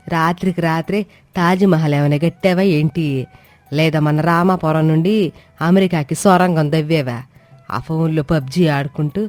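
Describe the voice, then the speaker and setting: A woman's voice: sits low, a little mellow, no echo, lightly acted. A woman voicing a domineering, sarcastic older mother-in-law in a cartoon moral story, scolding with pointed rhetorical questions. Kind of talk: acted dialogue in an animated moral story. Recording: music behind the voice. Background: music.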